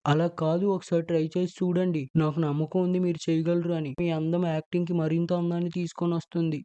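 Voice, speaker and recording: Guy as a smooth-talking cartoon director, encouraging, mellow, bit dull sound. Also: a little high, flat delivery, fast talker, lightly acted. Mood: persuasive.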